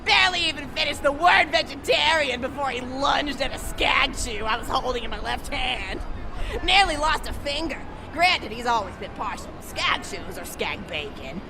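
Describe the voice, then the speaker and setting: An eccentric old granny from a video game, high-voiced and swooping up and down as she rambles. She is folksy, tough and sweet-toothed, with music playing behind her. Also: normal speed, a crowd in the background. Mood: rambling, matter-of-fact. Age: older. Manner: rambling, folksy, animated storytelling. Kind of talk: video game character monologue.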